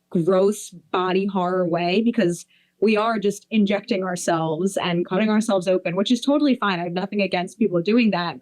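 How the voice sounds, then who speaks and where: A woman's voice: mid-range voice, darkish tone, poor audio. A woman in her twenties co-hosting a podcast, talking casually with lots of fillers and restarts. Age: 20s. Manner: rambling, filler-heavy, enthusiastic. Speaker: podcast co-host. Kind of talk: casual chat.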